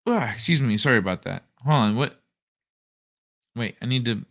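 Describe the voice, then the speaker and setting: Bright, jokey male livestream host. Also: mid-range voice, animated. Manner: loose and jokey, with frequent laughter and quick asides. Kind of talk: casual livestream chat with viewers.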